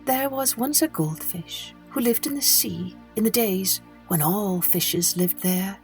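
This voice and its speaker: A woman storyteller reading a children's tale expressively, with gentle, drawn-out phrasing and touches of breathy whisper. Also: medium pitch, faint music behind.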